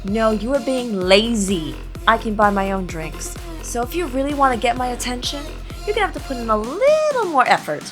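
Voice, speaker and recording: A woman's voice: fairly high pitch, sing-song, brisk, over music. A woman playing a tough, sardonic female bounty hunter in an audio drama, blunt and teasing. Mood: mocking, flirty.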